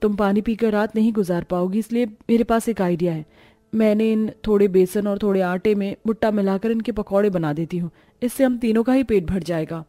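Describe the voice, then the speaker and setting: A woman voicing a poor young married woman in a cartoon story, gentle, concerned and practical. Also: mid-range voice, a little fast, faint music behind, natural voice. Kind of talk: cartoon story character dialogue.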